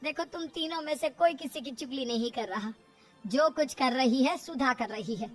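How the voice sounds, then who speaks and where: A woman's voice: high-pitched, normal speed, soft background music. A woman voicing a middle-aged mother, a firm and concerned peacemaker who chides and reasons. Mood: hurt, firm. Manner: chiding, reasoning.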